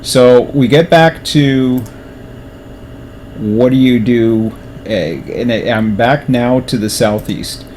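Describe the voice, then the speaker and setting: A man's voice: medium pitch, takes it slowly, darkish tone, a little hiss or hum. A man in casual rambling commentary, restarting his sentences and talking to himself, his throat going dry. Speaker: train simulator streamer. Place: at a table at home.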